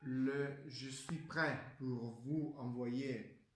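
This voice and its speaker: A man playing a polite travel agent in an amateur role-play, speaking haltingly. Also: mid-range voice, steady tone. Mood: polite, salesmanlike. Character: polite, deliberate male travel agent pitching offers. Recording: roomy echo.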